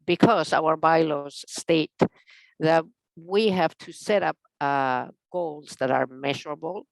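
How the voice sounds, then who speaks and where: A middle-aged woman with a Spanish accent and a creaky voice chairs a public meeting. She speaks haltingly and deliberately, and the sound is close and dry. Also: sits low, no echo, poor audio. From Spain. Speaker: commission chair.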